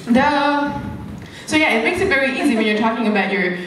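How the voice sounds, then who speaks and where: A woman's voice: a little high, animated, brisk, crowd noise. A woman doing stand-up comedy as a comedian, with a rambling, giggly delivery that keeps interrupting itself. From Hungary. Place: comedy club stage. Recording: echoey, noisy club room, distant mic.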